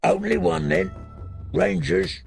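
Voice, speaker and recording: A man voicing a rough, defiant wildling man in a fantasy audiobook. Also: high-pitched, slow pace, faint music behind, pitched above the performer's own voice, full character voice. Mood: tense.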